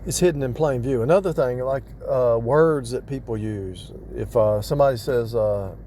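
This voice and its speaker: A man's voice: mid-range voice, normal speed, dark tone, a little hiss or hum. A man giving casual, off-the-cuff spiritual teaching in a rambling way, repeating and rephrasing himself for emphasis. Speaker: religious vlogger.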